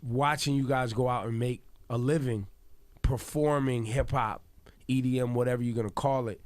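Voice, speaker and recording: A man's voice: mid-range voice, fairly even intonation, normal speed, no echo. Joking male radio host. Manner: loose, joking, enthusiastic. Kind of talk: casual radio interview.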